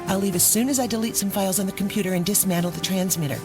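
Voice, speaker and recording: An actress playing a cool, brisk young secret agent in a 1990s radio drama. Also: female voice, medium pitch, music in the background, lightly acted. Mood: reassuring, urgent.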